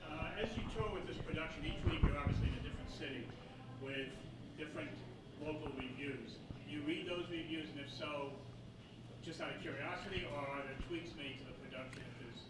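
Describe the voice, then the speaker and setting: A man's voice: a little high, brisk, pauses often. A man in the audience asking the cast a long, hesitant question. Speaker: audience member. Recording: far from the mic in a hall, faint hiss.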